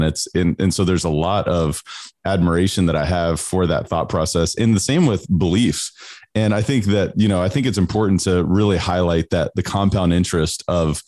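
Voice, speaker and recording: Very low-voiced man host, earnest and rambling, close dry mic. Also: darkish tone, no room sound. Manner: earnest, rambling with you-knows. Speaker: podcast host. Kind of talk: podcast interview.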